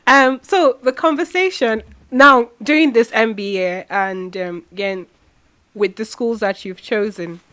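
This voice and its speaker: A woman's voice: on the high side, measured pace, bright, forceful tone. A woman hosting a podcast, asking long, rephrased interview questions. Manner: searching phrasing, rephrases questions. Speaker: podcast host.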